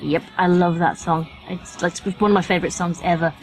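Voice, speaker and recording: A woman's voice: lowish voice, little pitch movement, a little fast, darkish tone, low-quality recording. British woman in casual podcast chat. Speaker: podcast guest, voice actress and fan fiction writer.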